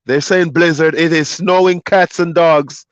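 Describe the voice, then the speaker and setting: A man's voice: a little high, steady tone, a little slow, no echo, muffled recording. A man hosting a live stream as a trucker, talking casually and reading chat comments aloud. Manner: laid-back, loose, reads chat comments aloud.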